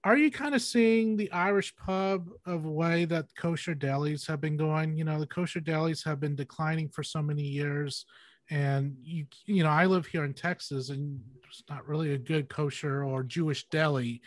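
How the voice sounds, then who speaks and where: A middle-aged male interviewer with a slightly high voice and a dry, close sound. He asks casual, conversational questions over a video call. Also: fairly even intonation, moderate pace, dry recording. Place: indoor room on a video call.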